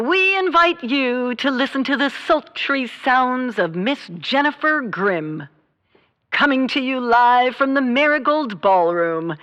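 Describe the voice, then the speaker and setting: Polished 1930s-style woman announcer, bright, clear delivery, lively intonation, long reverb. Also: sits high, heavily acted. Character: polished, grand female radio station announcer.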